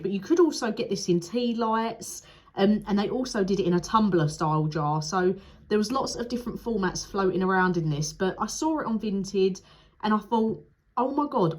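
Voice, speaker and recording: A young woman talking through a candle review in a chatty, frank way. Her voice sounds nasal and blocked up from a cold, with the odd sniffle. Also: mid-range voice. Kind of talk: casual product review vlog.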